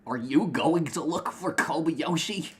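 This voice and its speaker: Guardian uncle, a fantasy ranger, read by an amateur: caring, cautionary, plain middling pitch. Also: measured pace, a little hiss or hum, close to an everyday voice. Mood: expectant. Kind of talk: amateur fantasy reader's theater.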